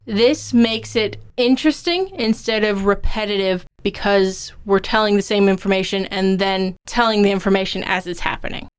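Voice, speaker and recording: Very clear-voiced woman discussing writing. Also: mid-range voice, normal speed, few pauses. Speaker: co-host of a writing podcast; writing instructor and author. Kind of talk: conversational craft discussion.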